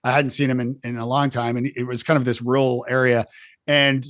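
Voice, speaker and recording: A man's voice: medium pitch, flat delivery, fairly quick, fairly bright tone, dry recording. Chatty man hosting a podcast, dry sound. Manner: chatty, with frequent restarts and repeated words. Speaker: podcast host. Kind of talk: casual podcast interview.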